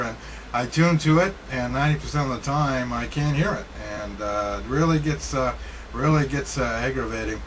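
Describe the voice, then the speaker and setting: A man of average pitch talks casually as a ham radio operator. He is matter-of-fact and a bit halting as he goes. Clear noise runs through the recording.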